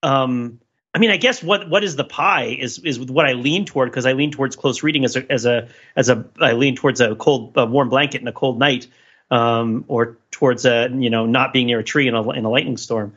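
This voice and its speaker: A man's voice: mid-range voice, fairly quick, fairly bright tone, slightly rough, highs cut off. A man talking casually about pop culture as a podcast panelist, in a rambling, digressive and analytical way.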